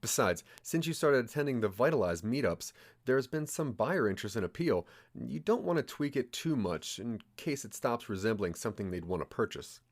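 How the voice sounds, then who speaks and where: A man's voice: mid-range voice, lively intonation, moderate pace. A man narrating a scripted second-person story as the narrator of an audio drama.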